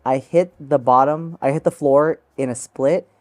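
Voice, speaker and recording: A woman guest in a casual interview, animated and self-mocking as she jokes. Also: rumbling low, normal speed, soft background music. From United States.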